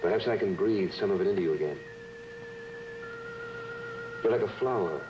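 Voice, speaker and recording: Casting: male actor as smooth island lover, low voice, courtly and romantic, muffled vintage drama sound with loud music. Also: normal speed, lightly acted. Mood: seductive. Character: suave seductive island man, smooth lover. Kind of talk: old-time television drama acting.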